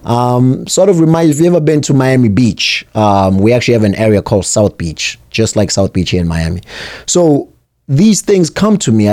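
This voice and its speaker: A man from South Africa hosting a motivational radio segment, talking in a reflective, earnest and measured way. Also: medium pitch, mellow, dry recording. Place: radio studio.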